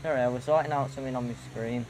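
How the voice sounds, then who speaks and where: Mid-pitched man commenting on gameplay. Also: steady tone, very fast, noisy background. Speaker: gaming video player.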